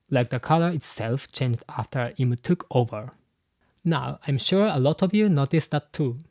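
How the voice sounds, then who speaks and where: A man hosts his channel, walking through his points in a methodical, scripted way. His voice sits at an ordinary middle pitch. The sound is close and dry, with no room echo. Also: a little mellow. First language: Japanese. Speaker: YouTube host and translator. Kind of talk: scripted commentary.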